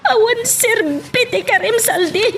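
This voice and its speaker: An anguished young woman played by an actress speaks in melodrama style. Her voice is extremely high, plaintive and full of feeling. The recording is slightly distant with faint noise. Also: unhurried, a bit bright, full character voice. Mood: desperate. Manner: plaintive, emotional. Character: anguished young pregnant woman, wronged in love. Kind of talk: melodramatic audio drama acting.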